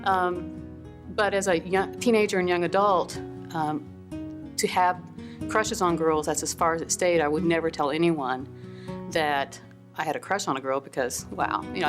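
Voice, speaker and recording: A woman giving a candid, reflective interview and talking with some hesitation. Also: lowish voice. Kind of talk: personal interview. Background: guitar music.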